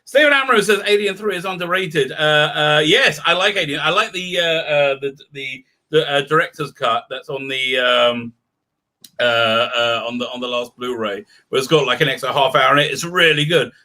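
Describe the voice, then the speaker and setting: A man with a bright, edgy voice pitched a little high hosts a loose conversation. He stumbles over his words and repeats them, then breaks off into jokey asides and quick apologies. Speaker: show host. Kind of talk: casual chat.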